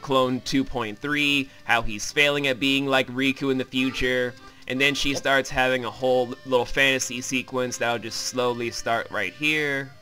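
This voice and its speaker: A man's voice: mid-range voice, fairly even intonation, moderate pace, hardly any pauses, sharp tone. A man hosting a joking, crude and irreverent recap of a video game. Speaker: host, self-described comedic voice actor. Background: game music.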